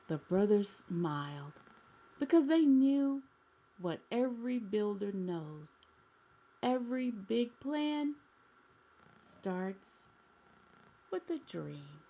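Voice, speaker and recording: A woman reading aloud to young children in an expressive storytime style, addressing her listeners directly. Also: a little high, extremely slow, pauses often.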